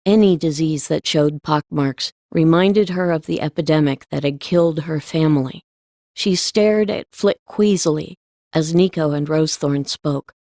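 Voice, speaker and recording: A woman's voice: low voice, normal speed, warm tone, dry recording, studio quality. A woman reading scripted storybook narration as an audiobook narrator.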